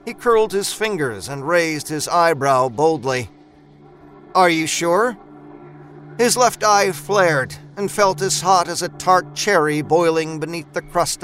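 A man's voice: on the high side, a bit bright. A man reading a horror story aloud in a dramatic narrating style over background music. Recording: music bed behind narration.

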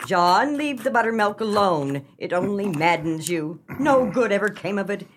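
Farm wife for radio melodrama , played by a woman: mid-pitched, resigned, devout, earnestly pleading. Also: lively intonation, moderate pace, lightly acted. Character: long-suffering pious old farm wife, pleading, resigned. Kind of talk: comic radio melodrama acting.

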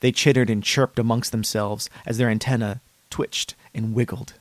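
Man voicing an eerily calm horror narrator, dry close sound. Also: medium pitch, no room sound, lightly acted. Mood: eerie, dreamy. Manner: measured, dramatic pauses. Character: male patient narrator of a horror tale, eerily calm and dreamy. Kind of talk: scripted horror story narration.

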